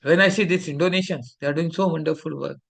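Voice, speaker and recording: Indian English-accented man, middle-aged, fairly high voice, preachy teacher scolding his students. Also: fast, vocal fry, a bit bright. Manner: scolding, preachy, switches between English and Hindi. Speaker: teacher of a fellowship class. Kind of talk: lecture.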